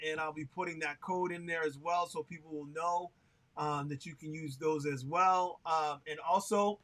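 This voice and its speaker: Bright-voiced chatty male host. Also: a little high. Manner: chatty, laughs often, many "um" fillers. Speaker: show host. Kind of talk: casual livestream interview.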